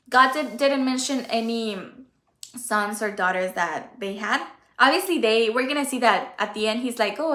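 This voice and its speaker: A woman's voice: a little high, fairly bright tone. A woman whose first language is Spanish, hosting a casual Bible study livestream, chatty and correcting herself as she reads. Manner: chatty, self-correcting, stumbles over names. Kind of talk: casual Bible reading and commentary. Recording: echoey room.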